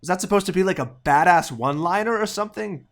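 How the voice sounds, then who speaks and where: A man's voice: sits high, quick pace. A man playing a snarky, jaded narrator of a comedic audio play, full of sarcastic asides. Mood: exasperated, jaded. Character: snarky, jaded male storyteller who hates his job.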